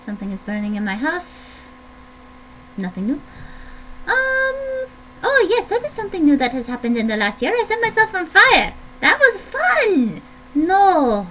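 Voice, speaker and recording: A woman's voice: very high-pitched, huge pitch swings, measured pace, fairly bright tone, slight background noise, rough recording. A young adult woman vlogging in a put-on Italian accent, rambling playfully with a self-deprecating manner. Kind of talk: casual rambling video diary.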